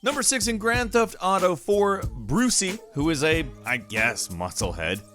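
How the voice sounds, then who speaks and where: A man's voice: sits high, big pitch swings, unhurried, sharp tone, soft background music. A man hosting a gaming countdown, narrating casually with wry, offhand asides. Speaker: host of a gaming countdown show.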